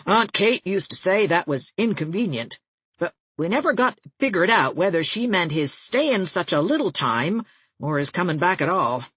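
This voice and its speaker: A woman's voice: lowish voice, sing-song, moderate pace, husky, phone-quality sound, pitched above the performer's own voice. A woman voicing a chatty, well-meaning older small-town busybody in an audiobook, with a folksy, rambling, confiding manner. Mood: gossipy, confiding. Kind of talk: audiobook character dialogue. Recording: thin band-limited audio, roomy echo.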